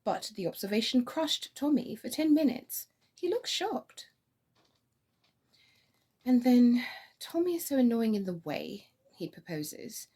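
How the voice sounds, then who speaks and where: A woman's voice: on the high side, very animated, measured pace, pauses often, mellow, husky, pitched above the performer's own voice, full character voice. A woman playing a flighty, witty young society lady in a stage comedy, breezy and mock-indignant. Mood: playful, indignant. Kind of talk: theatrical comedy of manners.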